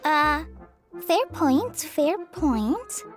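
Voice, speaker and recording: A woman's voice: sits high, big pitch swings, very slow, faint music behind, heavily acted. A woman voicing an earnest, shy teenage girl apprentice guide in a game, polite and hesitant. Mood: nervous, earnest. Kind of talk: game voice acting.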